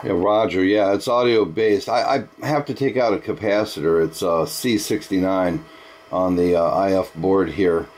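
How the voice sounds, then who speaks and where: A man's voice: low-pitched, moderate pace, a little mellow. A man talking casually as an amateur radio operator, with an enthusiastic, folksy manner. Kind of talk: casual ham radio chat.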